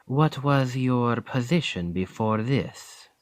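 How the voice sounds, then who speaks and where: An actor playing a seasoned royal bodyguard with a French accent, speaking in a wry, testing, measured way in an ASMR roleplay. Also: male voice, medium pitch, no room sound, lightly acted.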